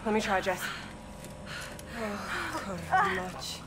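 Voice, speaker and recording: An actress playing a steady, caring young female fighter in a video game cutscene. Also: a little high, very slow, heavily acted. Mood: reassuring, worried. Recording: clear background noise.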